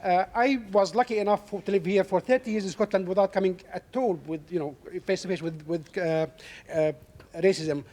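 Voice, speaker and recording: Woman sharing her view in a debate, halting, mid-pitched. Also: a little mellow. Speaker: audience member. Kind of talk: giving opinions in a discussion.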